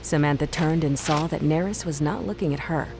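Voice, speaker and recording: Low-pitched female drama narrator. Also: brisk, music in the background, rough recording. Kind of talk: scripted narration.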